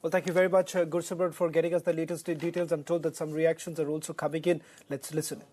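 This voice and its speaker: A man's voice: a little high, level tone, quick pace, mellow. A man with an Indian accent anchoring live television news, speaking in emphatic, formal phrasing.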